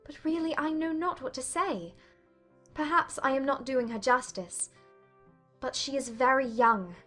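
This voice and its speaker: High-pitched actress playing young woman, anxious, earnest, sceptical, audiobook period drama, faint music. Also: unhurried, fairly bright tone. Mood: distressed.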